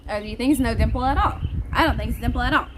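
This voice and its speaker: A woman talks to her viewers in a casual, chatty vlog style. Her voice sits on the high side and her manner is playful. There is some ambient noise behind her. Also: very fast. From Netherlands. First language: Dutch. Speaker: vlogger. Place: outdoors in the heat.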